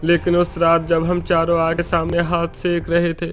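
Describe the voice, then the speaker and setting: A man's voice: a little high, monotone, normal speed, faint music behind, natural voice. A man playing a defiant ghost boy in a horror story, with dramatic delivery. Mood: earnest.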